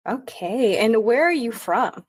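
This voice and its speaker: A woman's voice: on the high side, expressive, measured pace, slightly rough. A woman hosting a coaching podcast, upbeat and enthusiastic as she introduces the show and chats with her guest.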